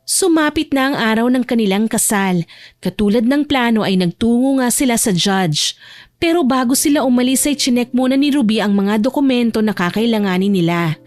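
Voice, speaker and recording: Woman narrator reading a romance audiobook, kinda high voice, soft music behind. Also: animated, a little fast, no echo. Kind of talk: scripted audiobook story narration. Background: faint music.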